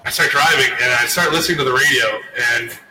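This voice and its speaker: A man's voice: medium pitch, fast. A man in his thirties co-hosting a podcast, telling a rambling, laid-back story. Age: 30s. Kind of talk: casual storytelling. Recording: echoey room with loud background noise.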